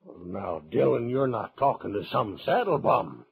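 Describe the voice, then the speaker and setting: A man's voice: medium pitch, very animated, normal speed, vocal fry, slightly rough, heavily acted. A pompous frontier townsman, American, with sharply rising and falling intonation. He blusters indignantly in the style of 1950s radio drama, looking after his own interests. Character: pompous, self-serving townsman pleading with the marshal. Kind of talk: 1950s radio Western acting. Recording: vintage 1950s radio recording.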